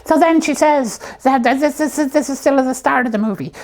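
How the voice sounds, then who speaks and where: A woman voicing an excitable puppet in a comic show, telling stories in a rambling, animated way with acted-out dialogue. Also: high-pitched, fairly quick, natural voice. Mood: chatty, eager. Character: excitable chatty storytelling puppet reviewing films.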